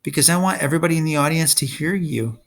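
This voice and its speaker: A man's voice: on the high side, quick pace, creaky, voice pushed up. A man reading a storybook in the part of a bold young boy hero, blunt and challenging.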